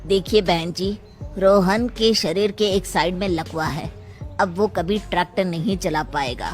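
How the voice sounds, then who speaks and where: A matter-of-fact village doctor, voiced by a woman, pitched low and speaking plainly in a moral story. Music plays underneath. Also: a little slow, lightly acted. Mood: grave.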